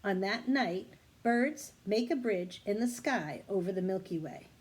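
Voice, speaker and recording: A woman voicing a kindly, wise female mentor in a storybook, speaking in a measured, reassuring, explanatory way. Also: medium pitch, lively intonation, husky. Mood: explaining.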